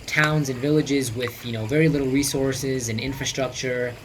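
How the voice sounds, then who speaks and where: A man's voice: mid-range voice, fairly even intonation, normal speed, noisy background. A young man narrating a personal documentary voice-over in an earnest, reflective way, with many "you know" fillers. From United States. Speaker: vlogger. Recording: voice-over under loud guitar music.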